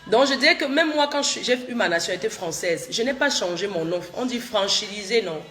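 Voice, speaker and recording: A woman's voice: medium pitch, expressive, a little fast, a bit bright. A chatty middle-aged woman talks loosely to her livestream viewers. She has a cold, circles back over her points and answers comments as they come in. Faint music plays in the background. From Cameroon. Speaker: social media livestreamer. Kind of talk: casual live chat with viewers.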